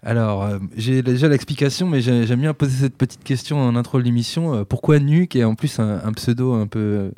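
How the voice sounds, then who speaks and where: A man's voice: mid-range voice, fairly quick, hardly any pauses, dark tone, no echo. A man hosting a local music show and asking his guest questions in a hesitant way, with many fillers. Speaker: radio show host. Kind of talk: casual interview questions.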